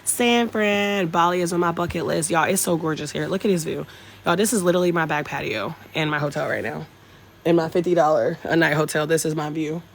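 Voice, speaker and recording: A woman's voice: deep voice, brisk, creaky. A young woman from North Carolina with a Southern accent, chatting casually with live-stream viewers from an outdoor hotel patio on her phone. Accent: Southern American. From North Carolina, USA. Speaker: travel vlogger live-streaming.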